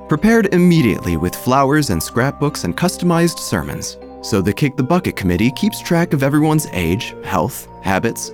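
A man's voice: mid-range voice, a little mellow. A man playing a droll, gossipy small-town church narrator, telling a scripted comic story with wry, theatrical phrasing. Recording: music under the voice.

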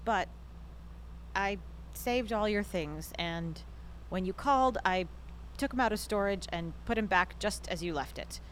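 A woman playing a brisk, teasing mother. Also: medium pitch, expressive, slight background noise, lightly acted, poor audio. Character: brisk, teasing mother running a boarding house. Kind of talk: audio drama acting.

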